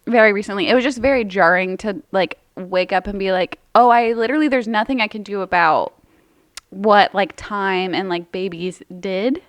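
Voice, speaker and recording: A woman's voice: mid-range voice, moderate pace, no echo. A woman in her twenties co-hosting a podcast, talking casually and rambling with lots of fillers. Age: 20s. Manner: chatty, rambling with many fillers. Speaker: podcast co-host. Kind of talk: casual chat.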